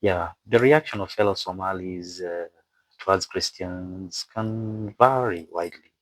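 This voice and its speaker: A man who is not a native English speaker narrates an explainer from a script with asides, haltingly, stumbling over words and repeating phrases. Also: deep voice, dry recording. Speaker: video presenter and narrator.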